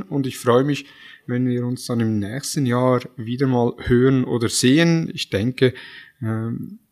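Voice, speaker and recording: A Swiss man with a Swiss German accent hosting a podcast, speaking in a friendly way with frequent fillers. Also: medium pitch. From Switzerland. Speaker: podcast host. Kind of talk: podcast host wrap-up.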